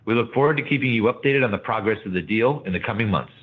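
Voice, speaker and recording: A man's voice: on the low side, breathy edge. A man reading formal, scripted financial remarks as a company executive on an earnings call. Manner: formal, measured corporate reading. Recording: narrow, tinny phone-like line.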